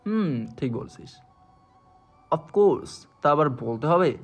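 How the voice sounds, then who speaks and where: A man's voice: fairly high pitch, expressive, slow, heavily acted. A man playing a vengeful young villain abductor in an audio story, taunting and threatening, with music behind him. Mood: mocking.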